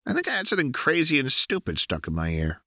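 A man's voice: mid-range voice, huge pitch swings, quick pace, bright, forceful tone, dry recording, studio quality, voice pushed up, heavily acted. A man voicing a brash, volatile young woman gang leader in an audiobook, blunt, sarcastic and defiant. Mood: incredulous, rattled.

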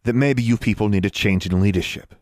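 A man voicing an indignant middle-aged government official who turns smug and then shouts in a fury. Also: on the low side, brisk, no room sound, clean studio sound, lightly acted. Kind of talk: audiobook character voice.

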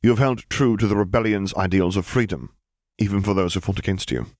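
A man voicing an earnest, courteous male admiral who speaks in a formal, measured way, in an audiobook. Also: low voice, darkish tone, no room sound, studio quality. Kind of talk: audiobook character voice.

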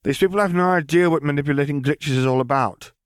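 An odd, matter-of-fact little girl, put on by a male audiobook reader. The voice sits well above his natural pitch. She is blunt and self-assured, explaining things with deadpan certainty. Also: fast talker, clean tone, dry recording, clean studio sound, natural voice. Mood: blunt, sure. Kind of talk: audiobook character voice.

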